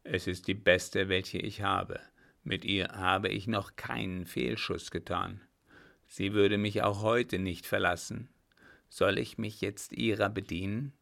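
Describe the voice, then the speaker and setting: A man voicing a grim, vengeful army captain who talks in a forceful, harsh, clipped way. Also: on the low side, steady tone, slow pace, vocal fry, exaggerated voice. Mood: brooding.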